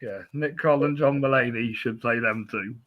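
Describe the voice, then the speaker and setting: A man hosting a film podcast, chatting casually and haltingly, with false starts along the way. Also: medium pitch, dull audio.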